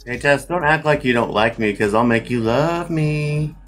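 A man's voice: medium pitch, moderate pace, fairly bright tone. Easygoing joking man guest, faint music bed. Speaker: panel guest. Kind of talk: casual panel chat.

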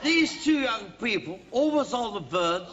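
A man's voice: sits high, very animated, unhurried, very noisy. An actor playing an older, tipsy father of the bride who gives a rambling wedding toast, slurring and tripping over words in a TV sketch. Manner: slurring, stumbling over words, self-correcting malapropisms. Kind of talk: 1980s TV sketch comedy. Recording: dull old TV sketch with loud audience laughter. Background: studio audience laughing.

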